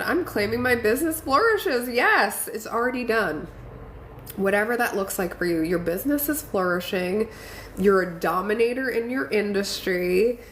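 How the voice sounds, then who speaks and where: A congested woman hosting a casual live stream, reading viewer comments and encouraging them warmly. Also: medium pitch. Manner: encouraging, affirming, chatty with fillers. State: congested from a cold. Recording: echoey room with faint ambient noise.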